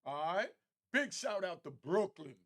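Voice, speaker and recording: A man's voice: sits high, very animated, measured pace, a bit bright, some chatter behind. A man giving casual, opinionated commentary in an emphatic, repetitive way, with street slang. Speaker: commentator reacting to a news clip.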